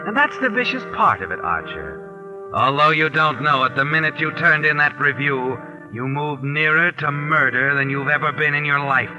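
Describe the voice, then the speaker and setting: Male actor as menacing narrator, sardonic, slightly high voice, music behind, 1940s radio recording. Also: expressive, normal speed, lo-fi sound, lightly acted. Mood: ominous. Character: ominous, taunting male narrator addressing the doomed protagonist. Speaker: narrator of a mystery series. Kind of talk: 1940s radio mystery narration, second person.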